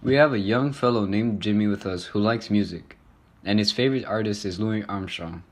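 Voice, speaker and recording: Courteous 1920s talk show host acted by a man. Fairly low pitch, polite scripted interviewing. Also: moderate pace, natural voice.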